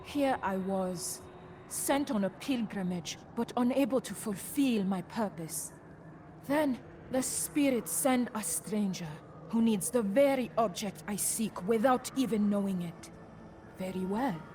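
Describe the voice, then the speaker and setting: A woman voicing a devout, resolute warrior pilgrim in a fantasy game, with a measured, portentous and formal manner. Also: medium pitch, slight background noise, natural voice. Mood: solemn, resolute. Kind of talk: fantasy video game acting. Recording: loud music under the voice.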